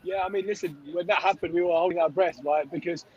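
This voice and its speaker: A man with a slightly hoarse voice chatting casually as a sports fan guest on a call, often checking in with "right?". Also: high voice, fairly even intonation, quick pace, very noisy. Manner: conversational, often tags phrases with "right?". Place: on a coach, remote call. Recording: dull lo-fi call audio.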